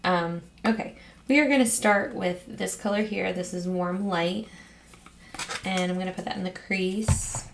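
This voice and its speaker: A woman with a hoarse, raspy voice, chatting casually as host of her own get-ready-with-me vlog at home, rambling with frequent ums. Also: medium pitch, fairly even intonation, a little slow, slight background noise. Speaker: beauty vlogger host.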